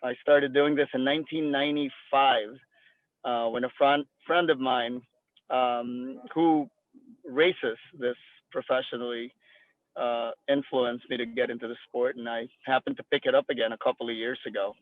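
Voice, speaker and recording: A man's voice: mid-range voice, steady tone, moderate pace, no room sound. Fifty-something man on a tinny line. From Philippines. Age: 50s. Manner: rambling, many fillers and restarts. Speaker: guest, jet ski racer. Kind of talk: interview, casual talk.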